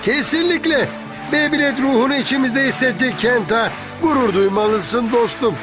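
Very high-voiced supportive cartoon friend. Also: male voice, moderate pace. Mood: encouraging. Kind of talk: dubbed anime voice acting. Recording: loud music under the voice.

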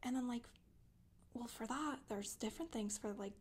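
Woman acting a soft, breathy confessing witch. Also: fairly high pitch, normal speed. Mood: matter-of-fact, gossipy. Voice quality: soft, breathy, often dropping to a whisper. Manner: rambling, gossipy, casual asides. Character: Scottish witch woman confessing, matter-of-fact, chatty, boastful. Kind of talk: soft-spoken ASMR character monologue. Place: small indoor room, seen seated.

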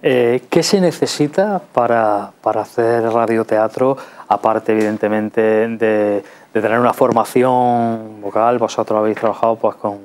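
A man's voice: lowish voice, steady tone, measured pace, hardly any pauses, darkish tone. A middle-aged man with an accent from Spain hosting a studio interview in a conversational, hesitant way, often checking with tag questions. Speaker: TV culture show host. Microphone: lapel mic.

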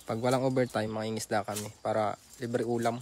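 A man with a Filipino accent chatting casually as he reads out shout-outs and thanks livestream viewers. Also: fairly low pitch, level tone, fairly quick. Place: outdoors on a grassy waterside bank. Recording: clearly noisy outdoor phone recording.

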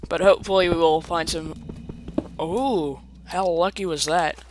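A man's voice: on the high side, steady tone, a little slow, noisy background. A young man casually commenting on his own gameplay, chatty and self-mocking, with playful asides. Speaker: let's play gamer.

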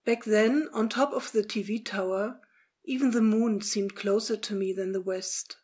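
Woman looking back on her life from a script, kinda raspy husky voice. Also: mid-range voice, steady tone, normal speed, darkish tone. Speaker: witness recalling past experience. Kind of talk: scripted first-person reminiscence.

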